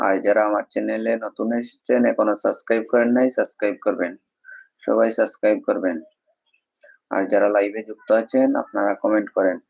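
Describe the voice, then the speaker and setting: Flat, low man, quick and gravelly. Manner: repetitive, addressing viewers as friends. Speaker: live stream host. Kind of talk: casual live stream chat urging viewers to engage. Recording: dull, lo-fi live stream audio.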